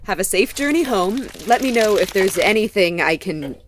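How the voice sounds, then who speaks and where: A woman's voice: medium pitch, lively intonation, moderate pace, slight background noise, lightly acted. A woman playing a polite, helpful host figure in an audio drama, courteous and hesitant. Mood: polite, uncertain.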